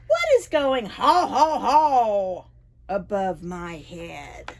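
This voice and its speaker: A woman's voice: medium pitch, sing-song, extremely slow, vocal fry, a little mellow, pitched below the performer's own voice, full character voice. A woman voicing a baffled young storybook boy kept awake by noisy neighbours, exclaiming and imitating noises in singsong. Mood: loud, puzzled.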